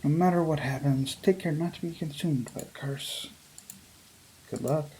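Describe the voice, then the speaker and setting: A man's voice: on the high side, normal speed, warm tone, slight background noise, rough recording. A man reading visual novel text aloud as a gaming YouTuber, with casual commentary.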